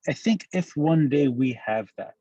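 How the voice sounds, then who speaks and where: A man from Lebanon speaking as a guest on a podcast interview, making emphatic, measured points. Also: fairly high pitch, animated, mellow, breathy edge, no echo, muffled recording. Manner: measured, emphatic analytical speech. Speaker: guest commentator.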